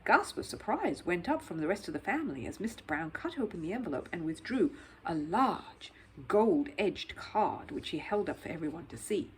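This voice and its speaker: Animated woman storyteller with background noise. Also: fairly low pitch, normal speed, low-quality recording. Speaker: storyteller reading a children's book. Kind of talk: expressive scripted narration.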